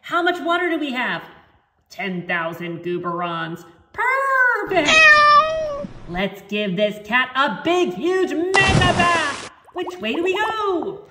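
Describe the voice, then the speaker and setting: A woman's voice: very high-pitched, very animated, slow pace, very noisy. A woman reading a children's story aloud as its author, playful and theatrical, acting out the characters. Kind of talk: animated storybook read-aloud with character voices. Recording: roomy echo with music behind.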